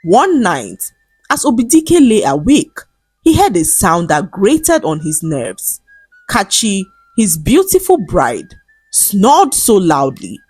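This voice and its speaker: A woman storyteller reading a scripted folktale in a measured, dramatic storybook manner. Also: medium pitch, wildly swooping pitch, darkish tone, slightly rough, no room sound, soft background music.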